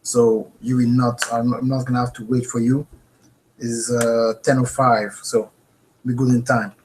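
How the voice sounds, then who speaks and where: A man with a French accent leads a live online class from his home office, and his voice comes through a webcam mic. He is earnest and animated and keeps checking in with the viewers. His pitch sits in a middle range for a man. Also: measured pace, a little hiss or hum, rough recording. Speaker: host. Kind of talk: live webinar teaching.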